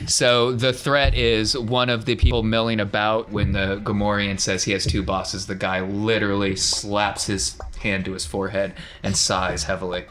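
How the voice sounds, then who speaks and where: A man's voice: fairly low pitch, flat delivery, moderate pace, few pauses, a bit bright, slight background noise. A man acting as game master, narrating a tabletop roleplaying game in a casual, explanatory way. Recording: faint music behind the voice.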